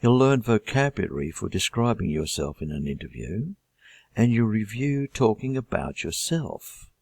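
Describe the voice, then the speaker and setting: A middle-aged Australian man introducing his English course in a measured, deliberate teaching manner. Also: fairly low pitch, sing-song, gravelly, darkish tone, husky, dry recording, studio quality. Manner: measured, deliberate delivery with listed items.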